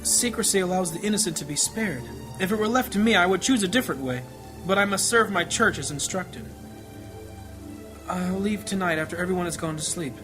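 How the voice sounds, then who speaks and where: A man acting a gentle, troubled priest and family man in a fantasy audio drama, earnest, measured and devout. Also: sits high, lightly acted. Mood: troubled, secretive. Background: music.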